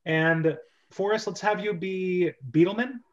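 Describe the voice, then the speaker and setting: A man's voice: sits high, measured pace, dull audio. A man hosting a casual group script reading, chatty and joking, breaking his narration with asides. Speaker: host and script reader. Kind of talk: casual group chat and stage-direction reading.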